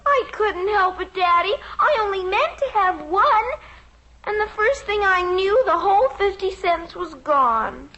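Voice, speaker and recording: Very high-pitched fibbing little girl. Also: expressive, unhurried, bright, forceful tone, dull audio, heavily acted. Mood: sheepish. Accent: American. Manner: plaintive, childlike. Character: little girl, earnest, evasive fibbing daughter. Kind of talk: 1950s radio sitcom acting. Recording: old radio recording, faint noise.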